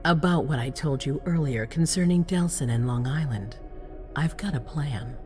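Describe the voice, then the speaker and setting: An actress playing a grave, resolute vampire prince in a game cutscene, speaking formally with measured authority. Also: female voice, low voice, a little mellow, faint music behind, lightly acted. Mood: measured, persuasive. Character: commanding female vampire prince, grave, resolute city ruler. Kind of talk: dramatic video game cutscene acting.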